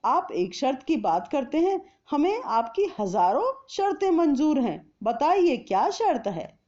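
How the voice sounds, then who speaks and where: A woman's voice: high voice, very animated, unhurried, a little mellow, highs cut off, voice pushed up. A woman voicing anxious, fawning male royal courtiers in a storybook, with a deferential, pleading delivery.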